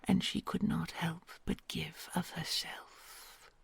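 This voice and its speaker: A woman voicing a kind, doting queen dragon, huge and ancient, who tells old legends in a soft hush and gently teases a human she adores. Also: lowish voice, steady tone, slow, vocal fry, husky, dry recording, whispered, exaggerated voice. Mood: storytelling. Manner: soft hushed storytelling, affectionate and gently teasing. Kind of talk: ASMR audio roleplay.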